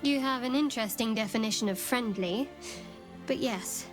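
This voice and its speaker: A woman playing a guarded, sharp-tongued adventurer in a video game, with a dry, pointed, composed delivery. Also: fairly high pitch, moderate pace, over music, close to an everyday voice. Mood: wary, conciliatory. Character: guarded, sardonic young female adventurer, sharp-tongued and steely.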